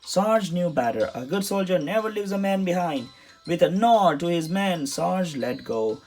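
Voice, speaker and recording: A man's voice: high-pitched, animated, normal speed, a little mellow. A man narrating a children's storybook as a read-aloud. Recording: music behind the voice.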